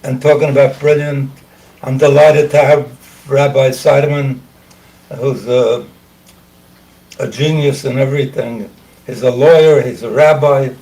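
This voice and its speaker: An older man hosting a talk show, speaking slowly and haltingly, clearing his throat often and losing his voice. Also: medium pitch, dark tone, distant mic, a little hiss or hum, poor audio. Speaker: host. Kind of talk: casual talk show monologue.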